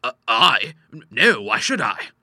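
Man voicing a genial, fussy father, bright and forceful. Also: mid-range voice, sing-song, measured pace, creaky, no room sound, studio quality, exaggerated voice. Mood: surprised, flustered. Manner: proper, courteous, exclamatory when vexed. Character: genial, fussy middle-aged doctor and father, easily ruffled. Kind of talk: audiobook character voice.

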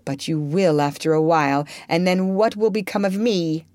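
A woman's voice: on the low side, normal speed, a little mellow, no room sound, close to an everyday voice. A woman reading a heartbroken young man. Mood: desperate. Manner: pleading, impassioned.

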